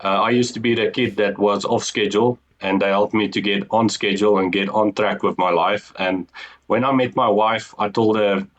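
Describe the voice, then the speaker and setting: South african guy, afrikaans accent, lowish voice, earnest, lots of ums. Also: fairly even intonation, normal speed, highs cut off. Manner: earnest storytelling, frequent ums and restarts. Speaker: guest, business co-founder. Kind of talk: casual interview talk.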